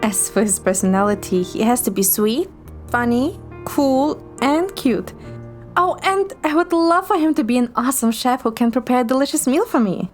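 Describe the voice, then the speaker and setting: A woman as a high-voiced, playful young heroine. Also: normal speed, soft background music, lightly acted. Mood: dreamy, playful. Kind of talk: amateur romance game dub.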